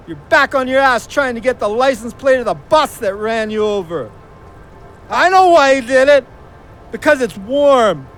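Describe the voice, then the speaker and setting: Anguished, high-pitched young man, slow-spoken. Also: a bit bright. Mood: agitated, bitter. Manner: bitter, blunt, slangy. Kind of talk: audio drama acting.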